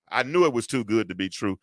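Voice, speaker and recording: A middle-aged man hosting a talk, with a deep, stentorious voice, lecturing a group in a lively, preacher-like way, full of rhetorical questions and big swings between loud and quiet. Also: moderate pace, dry recording, studio quality. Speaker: host.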